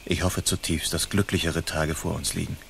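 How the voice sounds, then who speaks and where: Bold courtly outlaw hero, deep voice, formal, radio play style. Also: male voice, level tone, normal speed, creaky, fairly bright tone, slight background noise. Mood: tender, earnest. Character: bold, gallant masked outlaw hero of old California. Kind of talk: German radio play acting.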